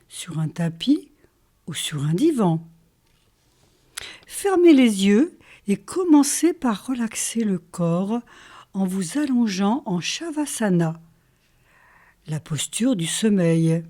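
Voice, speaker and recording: A woman leading a guided yoga nidra relaxation as a teacher, calm and soothing, in short phrases with pauses. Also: mid-range voice. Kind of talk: guided relaxation meditation, instructional.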